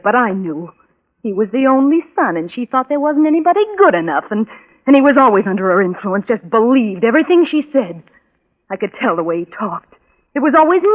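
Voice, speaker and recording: Obsessive jilted woman, tinny vintage radio. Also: mid-range voice. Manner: impassioned, breathy pleading swelling into anguish. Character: lovesick, obsessive jilted young woman, overwrought and bitter. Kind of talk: old-time radio drama acting.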